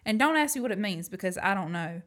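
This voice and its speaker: A woman's voice: mid-range voice, lively intonation, fast, fairly bright tone, lightly acted. A woman acting a plucky, frank young woman in an amateur radio play. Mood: calm, matter-of-fact. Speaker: student actor.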